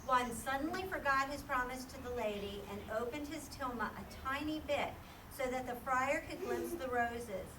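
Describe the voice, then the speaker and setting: A woman narrating and presenting a children's puppet show as a storyteller. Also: high-pitched. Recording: echoey, distant, noisy live recording.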